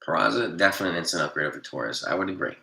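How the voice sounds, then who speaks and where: A man hosting a casual livestream, reading viewer comments and answering them bluntly, nasal from allergies and a cold and often clearing his throat. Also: low voice, animated, rapid-fire, sharp tone, roomy sound. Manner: blunt, argumentative, defends his opinions. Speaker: livestream host.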